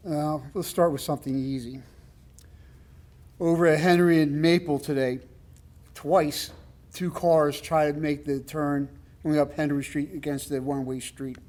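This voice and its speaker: A man with a loud voice giving a rambling, emphatic public comment at a town meeting, with many fillers. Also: on the high side, steady tone, a little slow, long pauses. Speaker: resident speaking at public comment.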